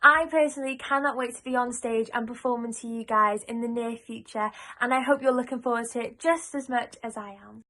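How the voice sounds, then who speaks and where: A woman's voice: on the high side, fairly even intonation, a little fast, hardly any pauses, pushed and bright. A woman giving an encouraging spoken message to supporters as a stage performer. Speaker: pantomime performer addressing supporters.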